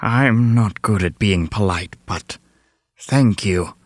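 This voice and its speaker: An actor playing a lonely, gentle beast in love in a children's fairy tale, speaking hesitantly and full of self-doubt. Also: deep voice, no echo, studio quality. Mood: shy. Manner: halting, hesitant, pleading.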